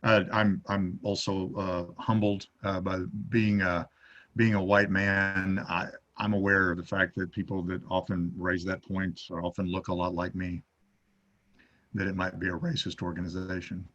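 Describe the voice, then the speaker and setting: Low, even-toned, halting male moderator. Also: highs cut off. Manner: halting, tentative, courteous. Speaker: session moderator. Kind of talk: moderating an online panel discussion.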